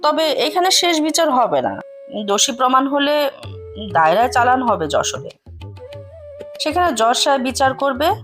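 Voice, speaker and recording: A woman's voice: high-pitched, big pitch swings, measured pace, pauses often, fairly bright tone, soft background music, pitched above the performer's own voice, heavily acted. A woman voicing a stern, brusque male lawyer who scolds and instructs with authority in an audio story. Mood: shrewd, instructing. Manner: scolding, commanding, clipped instructions.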